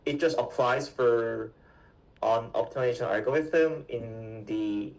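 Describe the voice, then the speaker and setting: A man in his twenties from South Korea, a guest answering interview questions in a halting way, with many fillers and restarts. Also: mid-range voice, recorded from a distance, rough recording. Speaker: guest, PhD student.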